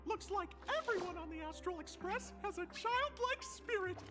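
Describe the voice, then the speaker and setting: A woman's voice: very high voice, lively intonation, normal speed, over music. A woman voicing a small, cheerful, childlike cartoon mascot companion in a video game, chirpy and exclamatory.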